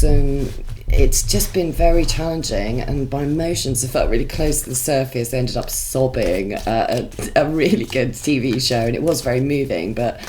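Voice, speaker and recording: A woman with a congested voice, chatting through a tarot reading with many musing pauses and restarts. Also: a bass voice, mellow, a little hiss or hum. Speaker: tarot reader.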